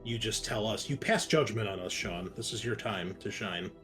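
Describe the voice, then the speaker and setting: A man's voice: fairly low pitch, normal speed, a bit bright. A man playing a tabletop roleplaying game, chatting casually with a wry, joking manner. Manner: wry, joking, explains rules readily. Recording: faint music under the voice. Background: faint music.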